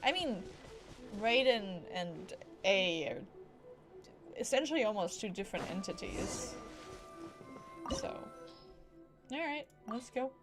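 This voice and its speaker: A woman giving casual live gameplay commentary as a streamer, playful and rambling, with excited exclamations. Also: medium pitch, slow pace, long pauses. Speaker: game streamer. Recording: game music behind voice. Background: video game music.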